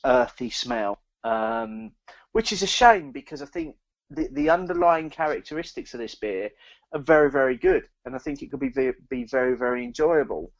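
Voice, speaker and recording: A man co-hosting a podcast in casual chat, speaking haltingly and repeating words as he thinks aloud. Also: medium pitch, expressive, muffled recording. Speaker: podcast co-host. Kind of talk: casual chat, beer review.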